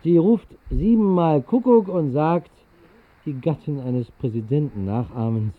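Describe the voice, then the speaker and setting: An actor playing a wry vagrant poet, narrating in a dry, measured way in a 1960s literary radio drama. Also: male voice, fairly high pitch. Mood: wry narration. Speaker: first-person narrator. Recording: thin, phone-like old radio recording.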